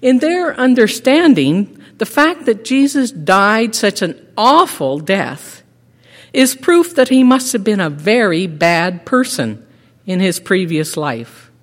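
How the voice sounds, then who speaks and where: A middle-aged woman giving a measured, earnest sermon in a church sanctuary, with a teacherly way of explaining. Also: medium pitch, sing-song. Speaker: lay preacher. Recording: echoey sanctuary with long reverb.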